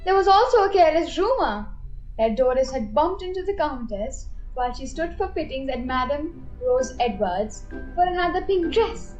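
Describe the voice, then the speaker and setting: A woman reads narration for a student radio play. Her voice is high-pitched, and faint music runs behind the storytelling. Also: sing-song, normal speed, darkish tone, rough recording.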